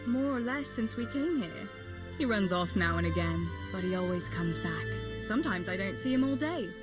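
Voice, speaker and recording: A woman playing a caring, practical young village woman in a medieval video game, speaking gently and matter-of-factly with light teasing. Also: medium pitch, expressive, normal speed, over music, natural voice. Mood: warm, coaxing. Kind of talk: video game cutscene acting, natural dialogue.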